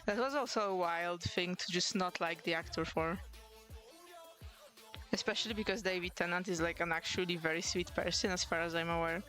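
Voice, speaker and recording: A woman's voice: on the low side, steady tone, fairly quick, a bit bright, soft background music, low-quality recording. A young woman from Poland, Polish her first language, chatting casually on a livestream in English with a mixed Polish and British accent, laughing often and restarting words. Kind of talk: casual chat while drawing on stream.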